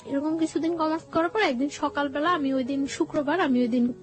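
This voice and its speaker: A woman with a Bangladeshi accent speaks in a high voice, sharing a personal story as a guest. She goes haltingly and circles back over her phrases. Music plays behind her. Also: steady tone. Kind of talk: personal storytelling in conversational talk.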